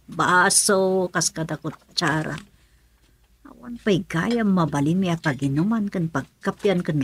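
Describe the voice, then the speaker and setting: A woman's voice: on the low side, fairly bright tone, slight background noise, exaggerated voice. A woman acting a fussy, grumbling housewife in a radio comedy-drama.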